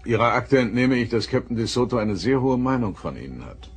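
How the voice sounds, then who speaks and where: An actor playing a reserved, middle-aged male starship captain, formal and measured, in a German sci-fi audio drama. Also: medium pitch, very animated, husky. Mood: stern, probing.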